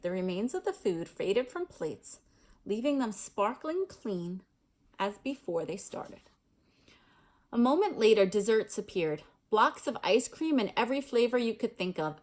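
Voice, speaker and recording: Woman, storybook read-aloud, highish voice, animated intonation, informal storyteller. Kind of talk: expressive storybook read-aloud with casual asides.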